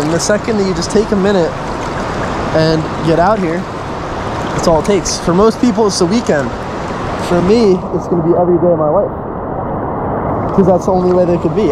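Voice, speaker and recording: A young man in his twenties vlogging while fishing in a river by a waterfall, excitable and whooping when fish bite, recorded on a handheld action camera. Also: high voice. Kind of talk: excited outdoor vlog. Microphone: action camera held at arm's length. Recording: loud rushing water noise. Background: rushing river water.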